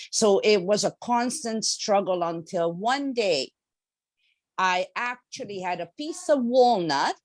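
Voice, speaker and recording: A woman's voice: mid-range voice, no room sound. A middle-aged woman from Hungary, a guest speaker telling her personal story in an earnest way on a talk show.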